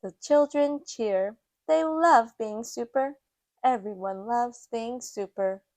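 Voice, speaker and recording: Slow-paced woman reading a storybook aloud. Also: on the high side, a little mellow, no room sound. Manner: measured, storytelling delivery. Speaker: storytime reader. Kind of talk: children's picture book read-aloud.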